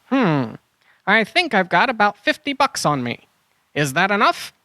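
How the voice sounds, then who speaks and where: A breezy boy adventurer, voiced by a woman, full of lively swings and cheerful overconfidence. It is performed as a children's audio drama, with a dry, close sound. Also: mid-range voice, measured pace, no room sound. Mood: matter-of-fact.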